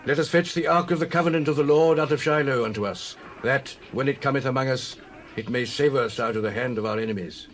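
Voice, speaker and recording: Casting: male actor for troubled elder, earnest declamatory delivery, average pitch, biblical audio drama. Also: quick pace, slight background noise, close to an everyday voice. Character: troubled elder of Israel urging a plan. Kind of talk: dramatized scripture reading.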